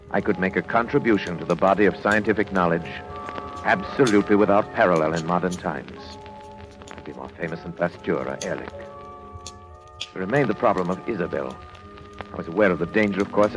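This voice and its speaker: An actor playing a cold, ambitious psychiatrist husband with formal, grandiose diction in old radio suspense style. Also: male voice, sits low, natural voice, rough recording. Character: cold, ambitious, controlling male psychiatrist husband, narrating his story. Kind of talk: 1950s radio suspense acting and first-person narration. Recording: old radio recording with music behind.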